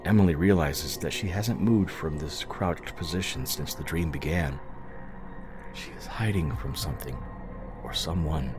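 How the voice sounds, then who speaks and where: A man narrating scripted audio fiction in a dramatic storytelling style. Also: sits low. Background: music.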